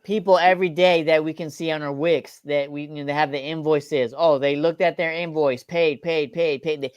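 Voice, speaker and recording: A man's voice: on the high side, steady tone, normal speed, smooth, no echo, lo-fi sound. A man in casual conversation, talking in slangy phrases and repeating himself for emphasis. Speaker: company team member on a panel. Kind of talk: casual chat.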